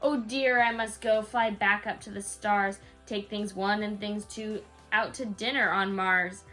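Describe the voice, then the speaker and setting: A woman's voice: medium pitch, steady tone, unhurried, bright, forceful tone, soft background music. A woman reading a rhyming bedtime story aloud to a young child, in a sing-song way with casual asides.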